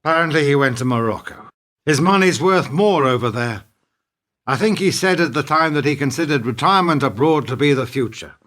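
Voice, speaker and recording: A man acting in a radio play as a bitter, principled business partner, speaking in a measured, sardonic, needling way. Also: fairly high pitch, expressive, a bit bright. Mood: wistful, reflective.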